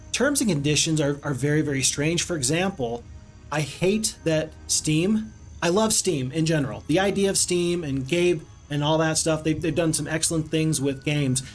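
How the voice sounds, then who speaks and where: A man casually commenting on his strategy game stream, rambling and chatting to viewers. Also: a little high. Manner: chatty, rambling, reads viewer chat aloud.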